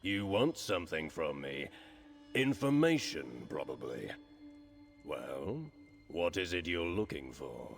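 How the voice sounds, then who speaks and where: Chatty sly crime boss in a fantasy game, deep voice, fake polite w/ a threat under it, bit of music behind. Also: male voice, very animated, takes it slowly, full character voice. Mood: imperious, probing. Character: smooth-talking, chatty male crime lord, slyly menacing. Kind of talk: fantasy video game dialogue. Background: background music.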